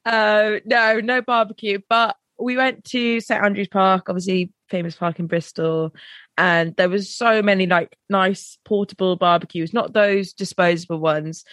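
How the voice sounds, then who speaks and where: A young woman, co-hosting a casual podcast chat, her voice croaky and tired, laughing and casual. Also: medium pitch, steady tone, unhurried, fairly bright tone, no echo. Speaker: podcast co-host.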